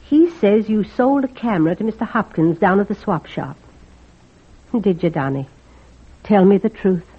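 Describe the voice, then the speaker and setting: An actress playing a stern but caring older guardian woman in 1940s radio style, husky and plain-spoken. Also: mid-range voice, expressive, normal speed. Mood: grave, sorrowful. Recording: thin, narrow-band old radio recording.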